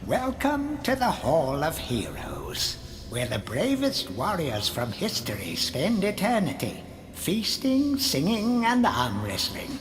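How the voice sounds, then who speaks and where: Casting: mysterious game narrator, low register, animated contours, grave and theatrical, music underneath. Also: female voice, exaggerated voice. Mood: portentous. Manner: theatrical, measured. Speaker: narrator and guide. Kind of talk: scripted fantasy game narration.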